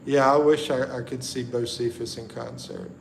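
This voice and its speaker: A middle-aged man from the Carolinas, chatting casually on a livestream, easygoing and halting with lots of ums and restarts. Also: mid-range voice, gravelly. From the Carolinas, USA. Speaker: livestream host. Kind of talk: casual livestream chat, reading viewer comments. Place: indoors at home in the Philippines at night. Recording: echoey room with steady background noise.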